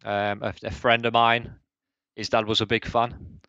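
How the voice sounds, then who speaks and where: A man's voice: fairly low pitch, level tone, a little slow, bright, forceful tone, dry recording, muffled recording. A man in his thirties from England, co-hosting a podcast chat, hesitant and often repeating words as he speaks. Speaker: podcast co-host. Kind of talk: casual chat.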